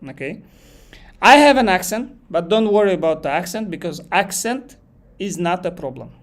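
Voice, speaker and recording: Man, Kazakh accent, slightly high voice, live online English lesson, thinks aloud, repeats phrases. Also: lively intonation, moderate pace. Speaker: English exam teacher.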